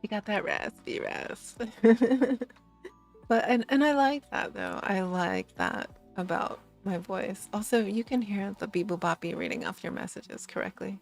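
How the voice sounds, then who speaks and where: Sleepy raspy woman streamer. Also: sing-song, unhurried, soft background music. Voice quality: slight rasp, low voice. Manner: sleepy, giggly, rambling with restarts. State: tired. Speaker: VTuber streamer. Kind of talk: casual late-night stream chat.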